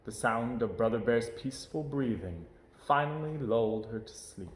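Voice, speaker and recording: A man narrating a children's picture book aloud in an expressive storytelling style. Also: medium pitch, moderate pace. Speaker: storybook reader. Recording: roomy, echoey indoor sound.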